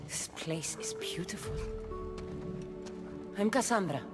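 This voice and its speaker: A woman's voice: mid-range voice, expressive, takes it slowly, over music. A woman playing a bold, wry, self-assured female warrior in a video game cutscene. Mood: guarded, wry.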